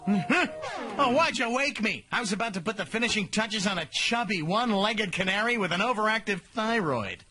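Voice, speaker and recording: Sly cartoon cat: high, swooping, sarcastic delivery, theatrical schemer with a wicked streak. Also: male voice, animated, moderate pace, pushed and bright, faint music behind. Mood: scheming. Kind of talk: animated cartoon voice acting.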